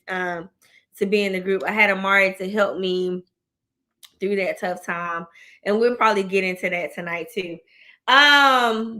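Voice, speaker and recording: Bright-voiced excitable woman host in her thirties. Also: mid-range voice. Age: 30s. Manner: excitable, laughing, chatty with slang. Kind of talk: casual chat show hosting.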